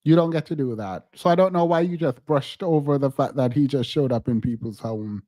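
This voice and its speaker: A man's voice: mid-range voice, dark tone, no echo. Teasing man chatting on a livestream. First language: not English. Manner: playful, teasing, drawn-out emphatic words. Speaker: livestream host. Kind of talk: casual livestream chat.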